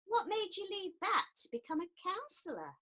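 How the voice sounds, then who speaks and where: A woman's voice: very high voice, big pitch swings, moderate pace, pushed and bright, heavily acted. A woman playing an earnest, prim and easily offended counsellor in a radio comedy set in ancient Greece. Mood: indignant, offended. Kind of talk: radio play acting.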